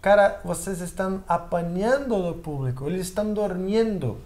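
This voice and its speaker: A man's voice: sits high, normal speed, a little mellow, voice pushed up, close to an everyday voice. A man voicing a blunt male band manager with a Mexican-tinged accent, scolding the band into action. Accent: Mexican-tinged Portuguese. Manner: scolding, pep-talk delivery.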